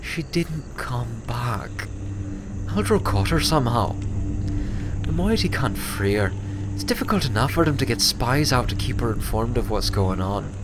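Man voicing an earnest young filly, lively pitch. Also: measured pace, natural voice. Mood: worried, sombre. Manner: precise, wordy, explanatory. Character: grave young filly pony, earnest, fiercely determined storyteller. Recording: clear background noise.

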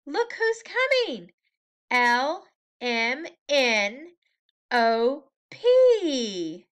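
Casting: female preschool storyteller, high and clear smooth voice, very unhurried, playful sing-song read-aloud. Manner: playful, expressive, sing-song delivery with drawn-out words. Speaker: preschool teacher reading a picture book aloud.

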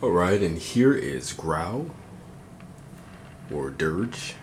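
A man's voice: sits low, big pitch swings, very slow. A man reviewing toys in an informal, matter-of-fact way, as a casual hands-on demonstration. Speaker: toy reviewer. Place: indoors at a tabletop display. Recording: clear background noise, handling clicks.

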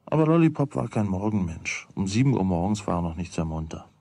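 A man's voice: low voice, animated, fast talker, creaky, dark tone, no echo, studio quality, heavily acted. A man narrating a children's radio play as its storyteller, in a scripted storytelling style. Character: storyteller of a boy's everyday adventures.

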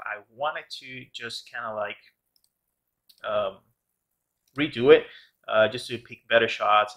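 A man's voice: medium pitch, pushed and bright. A man casually narrating his art process as host of a tutorial channel, rambling with many fillers and restarts. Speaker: background artist and channel host.

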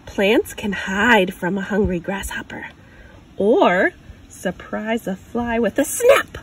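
A woman in her thirties hosting a children's story time, reading a picture book aloud outdoors with expressive, deliberate emphasis on words. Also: medium pitch, rough recording. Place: outdoors on grass. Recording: clear outdoor ambient noise.

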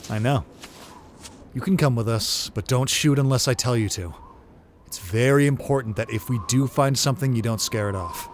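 A man's voice: mid-range voice, moderate pace, a little hiss or hum, natural voice. A man voicing a tense, decisive survivor leader and protective father in a comic dub. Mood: steady, concerned. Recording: loud music bed under the voice.

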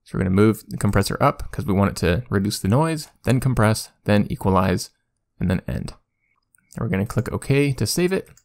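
A man's voice: fairly low pitch, darkish tone. Self-deprecating man giving a casual tutorial. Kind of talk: casual software screen-recording tutorial.

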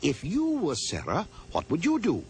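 A man's voice: a little high, huge pitch swings, moderate pace, over loud music. Sing-song man narrating for kids. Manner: sing-song, asks the listener questions. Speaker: children's show narrator. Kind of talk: storybook narration to children.

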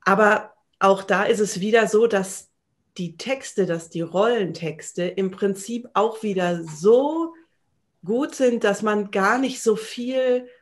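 A woman's voice: medium pitch, takes it slowly. A woman in her thirties talking conversationally as an interview guest, with an enthusiastic, playful manner. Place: small indoor room at home, video call.

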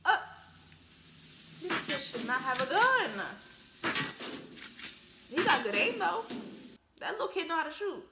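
A woman's voice: high voice, big pitch swings, slow pace, pauses often, echoey room, noisy background. A woman in her twenties giving casual, laughing commentary on a film, full of slangy asides, speaking into a wired earphone mic in a bare room. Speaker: YouTuber reacting to a film.

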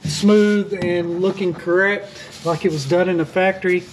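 High-voiced man narrating boat work. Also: a little slow, a little hiss or hum, low-quality recording. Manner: relaxed, plainspoken, frequent "uh" fillers and restarts. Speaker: boat refit vlogger. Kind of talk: casual how-to narration while working. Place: outdoors on a sailboat deck.

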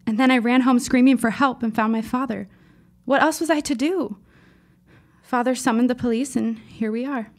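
A woman's voice: a little high, fairly even intonation, moderate pace, natural voice. An actress playing a precocious fifteen-year-old girl in an audio drama, speaking in formal, dramatic phrases. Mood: insistent. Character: precocious, earnest fifteen-year-old girl writer, shaken but insistent.

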